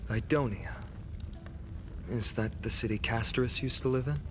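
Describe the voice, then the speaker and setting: An actor voicing a curious, gentle companion in a scripted video game dialogue. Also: male voice, medium pitch, normal speed, background noise, lightly acted. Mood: curious, attentive.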